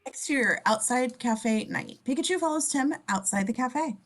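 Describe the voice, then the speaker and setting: Woman narrating stage directions, lively pitch. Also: moderate pace. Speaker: scene description reader. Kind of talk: reading screenplay stage directions aloud.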